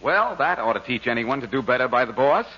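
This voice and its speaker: A man's voice: mid-range voice, sing-song, fairly quick, loud music behind the voice. A man delivering a folksy, emphatic 1940s radio commercial as the announcer. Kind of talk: 1940s radio advertising pitch. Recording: dull vintage radio recording.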